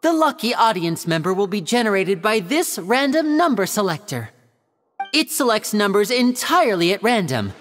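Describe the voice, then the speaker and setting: A woman's voice: mid-range voice, sing-song, normal speed, big-room sound, faint music behind, lightly acted. A woman voicing a suave young male stage magician in a video game, addressing an audience with theatrical, polished and courteous patter. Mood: reassuring, courteous.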